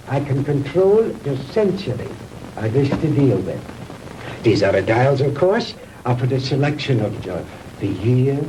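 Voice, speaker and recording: Pompous mad scientist, slow and swooping. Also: male voice, mid-range voice, mellow, rough recording. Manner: pompous, deliberate, lecturing. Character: grandiose Nazi mad scientist, older man, fanatical lecturer. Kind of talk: 1960s B-movie acting. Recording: hissy old 1960s film soundtrack.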